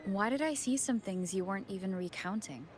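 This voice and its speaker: A woman's voice: medium pitch, lively intonation, brisk, faint music behind, lightly acted. A woman playing a serious, suspicious investigator in a video game, with a terse, probing delivery. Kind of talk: game dialogue.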